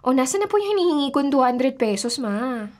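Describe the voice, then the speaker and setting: Whiny, high-voiced lazy daughter. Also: female voice, big pitch swings, fairly quick. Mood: sulky, demanding. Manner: whiny, dismissive, sulky. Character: lazy, self-centred college-age daughter, whiny and demanding. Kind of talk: Filipino radio drama acting.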